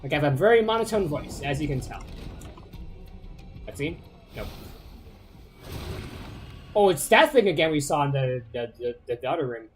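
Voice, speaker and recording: A man's voice: a little high, big pitch swings, moderate pace, pauses often, faint music behind. Chatty man streaming a game. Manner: chatty, self-mocking, trails off and repeats words. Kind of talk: casual game commentary.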